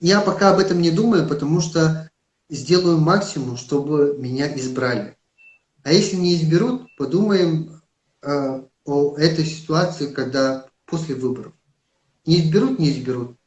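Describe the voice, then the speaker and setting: A man's voice: fairly high pitch, moderate pace, darkish tone. Earnest man with a Yakut accent. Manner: earnest, emphatic, with hesitant fillers. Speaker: political candidate. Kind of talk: live-streamed interview. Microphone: phone on a live stream. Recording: roomy echo.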